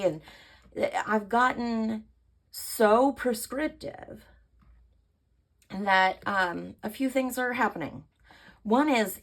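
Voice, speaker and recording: A woman with a hoarse voice from allergies, chatting casually to camera about her art process and thinking aloud with many fillers. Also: mid-range voice. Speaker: artist vlogger.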